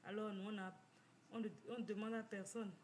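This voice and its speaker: A woman talks at a middle pitch and tells her own story. She stops and restarts often and repeats phrases as she goes. A faint hiss of noise sits behind her voice. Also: flat delivery, darkish tone. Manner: repetitive, halting with many restarts. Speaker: community finance speaker. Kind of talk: personal testimony talk. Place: living in the United States.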